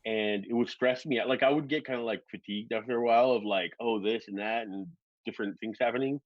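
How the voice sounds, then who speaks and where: A man's voice: mid-range voice, quick pace, no room sound, dull audio. A man in his forties, a guest drone pilot, chatting casually and rambling, swearing freely and laughing often. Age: 40s. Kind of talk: casual chat.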